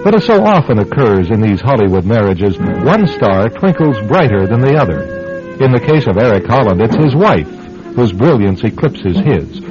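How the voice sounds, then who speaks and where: Animated male radio narrator over music. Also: fairly low pitch, moderate pace. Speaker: host and narrator. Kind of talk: scripted old-time radio drama narration. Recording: muffled radio sound with music behind.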